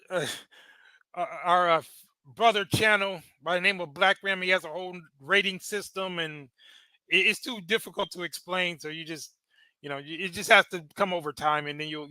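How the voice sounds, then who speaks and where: A man's voice: high voice, moderate pace, pushed and bright, clear, resonant tone, no room sound, rough recording. A man from the United States hosting a live podcast, casually commenting on a video with stammered restarts and laughing asides. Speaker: podcast host reacting to a video.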